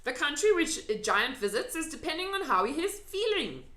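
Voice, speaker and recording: Woman voicing an excitable, high-pitched storybook giant. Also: normal speed, full character voice. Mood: gleeful explaining. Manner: excitable, emphatic, quaintly mangled grammar. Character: friendly old male giant with muddled folksy grammar. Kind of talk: children's storybook reading. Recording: echoey room.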